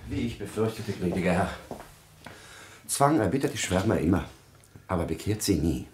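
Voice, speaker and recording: A man acting a servile, calculating court secretary in a 1950s German radio drama, speaking in a deliberate, insinuating, deferential way with a husky voice. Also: sits low, animated, darkish tone, a little hiss or hum.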